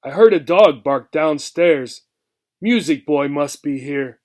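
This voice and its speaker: Guy doing a grumpy old storybook mouse, gruff n scornful, out for revenge. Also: a little high, lively intonation, slow, darkish tone. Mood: alert, commanding. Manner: scornful, emphatic. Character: gruff, bitter, vengeful old male mouse.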